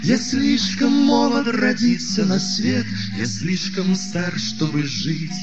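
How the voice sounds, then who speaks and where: A man's voice: high voice, sing-song, slow pace. A man singing an acoustic bard song as a singer-songwriter. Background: plucked acoustic guitar.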